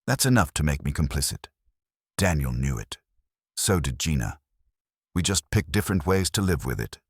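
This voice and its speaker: A man plays a guarded woman weighed down by complicity in an audiobook mystery. The voice is very low and husky, confiding and resigned, with expressive rises and falls. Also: moderate pace, dry recording, clean studio sound, exaggerated voice. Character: guilt-ridden, guarded woman confessing quiet complicity. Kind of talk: audiobook character dialogue.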